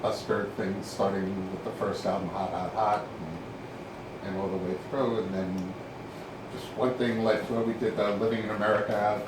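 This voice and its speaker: Older male horn player guest, low voice, animated anecdotes, caught far off in a very echoey, noisy room. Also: moderate pace. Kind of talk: casual chat. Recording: very echoey room, distant mic, background noise.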